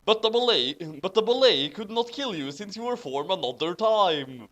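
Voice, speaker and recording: A man's voice: high-pitched, expressive, normal speed, fairly bright tone, a little hiss or hum. A man reading fan fiction aloud theatrically, with amused, giggly commentary. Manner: theatrical, hammy emphasis; giggly, breaking into laughter.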